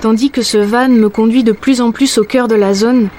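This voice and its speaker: A woman's voice: a little high, fairly even intonation, moderate pace, darkish tone, a little hiss or hum. A woman in her late thirties from the south of France, narrating in an intimate, reflective first-person voice. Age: late 30s.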